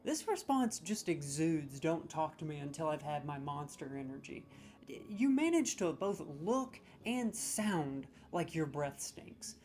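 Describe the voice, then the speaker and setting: A man's voice: on the high side, very animated, measured pace, a little mellow. A man in a comedic role, scoring lines with sarcastic, mock-serious, playfully exaggerated commentary.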